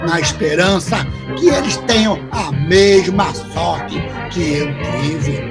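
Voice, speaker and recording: Man acting slow-talking, high-pitched grandiose politician. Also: expressive, creaky, exaggerated voice. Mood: solemn, sentimental. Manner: slow, declamatory public speaking. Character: grandiose male politician, pious public benefactor, easily rattled. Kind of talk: audio drama acting. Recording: music under the voice.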